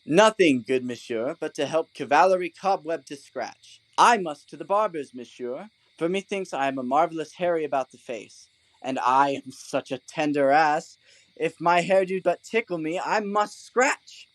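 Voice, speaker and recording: Guy playing a silly pompous donkey man, voice swooping all over, fairly high, dry studio sound, stage comedy. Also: expressive, moderate pace, no echo, lightly acted, rough recording. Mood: lordly, indulgent. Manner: pompous, mock-courtly, leisurely. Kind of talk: Shakespearean comic audio drama.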